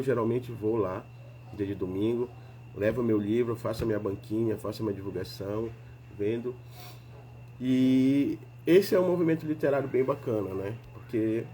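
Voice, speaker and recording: A man's voice: on the low side, mellow, a little hiss or hum. A man, congested from a cold, hosting a live stream in a casual, rambling way with many fillers.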